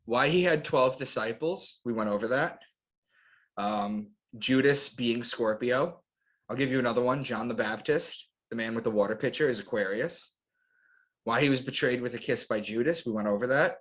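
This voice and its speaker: A man's voice: mid-range voice, a bit bright, rough recording. A man giving an explanatory lecture as an interview guest, in short declarative phrases with rhetorical questions. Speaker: guest author and astrotheologist.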